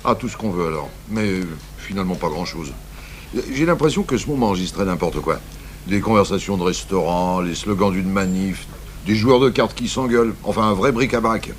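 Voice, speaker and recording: Police inspector male actor, fairly low, informative and deferential to his boss, relaxed phrasing, vintage radio serial. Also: brisk, natural voice. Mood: keen, businesslike. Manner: casual, informative. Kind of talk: 1980s French radio drama acting. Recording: faint noise, old radio recording.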